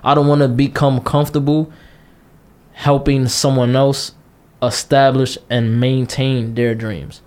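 A man's voice: medium pitch, little pitch movement, a little slow, darkish tone. A man in his late twenties co-hosting a casual podcast, talking bluntly and passionately, repeating himself and cursing freely. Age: 20s. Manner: blunt, repetitive, passionate, curses a lot. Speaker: podcast co-host.

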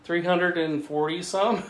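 A man's voice: sits high, a little slow. Man telling a funny anecdote in an echoey room. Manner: animated storytelling, acting out dialogue, chuckling often. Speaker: writer telling a travel story to a writers' group. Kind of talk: casual humorous anecdote. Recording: echoey room with faint noise.

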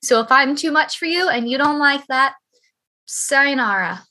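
A young woman with a high-pitched voice chatting casually on a podcast, talkative and emphatic, swearing freely. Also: lively intonation, normal speed, sharp tone.

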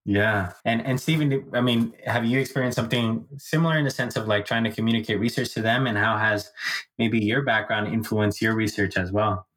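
Low-voiced male host. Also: steady tone, a little fast, fairly bright tone, poor audio. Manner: friendly, conversational, asks follow-ups. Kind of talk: casual conversation.

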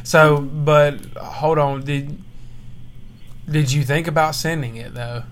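A man's voice: a little high, level tone, slow. A young man co-hosting a casual chat with his wife, joking, teasing and laughing often, at home at night. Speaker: podcast co-host and church minister. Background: faint baby monitor hiss.

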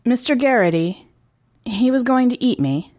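A woman's voice: a little high, animated, moderate pace, a little mellow, voice pushed up, lightly acted. Woman voicing a shaken, urgent young boy.

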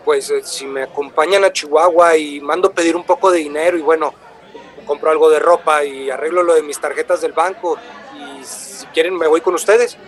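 Actor as earnest traveling buyer, slightly high voice. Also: male voice, steady tone, moderate pace, natural voice. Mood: earnest eager. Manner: explanatory, earnest. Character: earnest, polite traveling walnut-wood buyer, eager. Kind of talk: radio drama dialogue. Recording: crowd murmur of a café behind.

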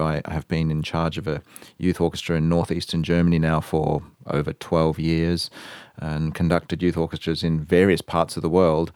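A man in his fifties with an Australian accent, speaking as a guest in a radio interview, thoughtful and measured, with many hesitations. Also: low voice, darkish tone, dry recording, clean studio sound. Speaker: guest, violinist and conductor.

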